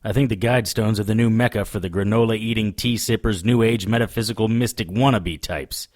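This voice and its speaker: An actor playing a gruff, sardonic occult investigator in a noir audio drama, wry and grumbling. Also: male voice, on the low side, brisk, darkish tone, lightly acted. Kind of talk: audio drama acting with noir first-person narration.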